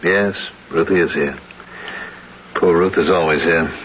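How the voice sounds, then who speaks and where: A muffled old radio recording with some hiss. an older invalid father with a low voice, weary, dry and bitter in his deliberate delivery. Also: steady tone. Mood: dry, resigned. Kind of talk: 1970s radio drama acting.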